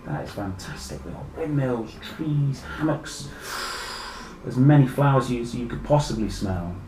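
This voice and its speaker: Animated British man reading a children's story. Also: mid-range voice, measured pace. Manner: enthusiastic, exclaiming and addressing young listeners directly. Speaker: storyteller reading a picture book aloud to children. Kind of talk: expressive read-aloud with chatty asides. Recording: echoey room with faint background noise.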